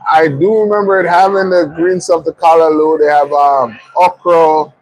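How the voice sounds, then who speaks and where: Jamaican guy hosting a stream, high voice, just chatting. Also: steady tone, moderate pace, a little mellow, slight background noise, poor audio. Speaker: live stream host. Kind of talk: casual chat.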